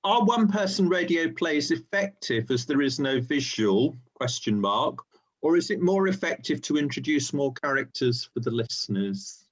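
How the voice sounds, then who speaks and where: Higher-pitched male webinar host, dull lo-fi sound. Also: very animated, normal speed. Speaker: host relaying audience questions. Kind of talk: informal webinar moderating, reading out questions. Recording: dull, lo-fi online call audio.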